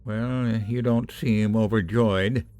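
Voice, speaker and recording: A man's voice: on the low side, steady tone, slow pace, darkish tone. An actor playing a middle-aged professor, a stern yet anxious father, in a radio drama. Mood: concerned, firm.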